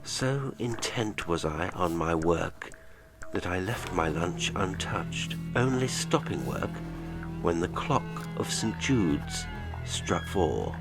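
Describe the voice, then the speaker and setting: A man narrating a classic horror story in a measured, deliberate, dramatic way with weighty pauses. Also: sits low, lively intonation, gravelly. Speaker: audiobook narrator and podcast host. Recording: music behind the voice. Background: music.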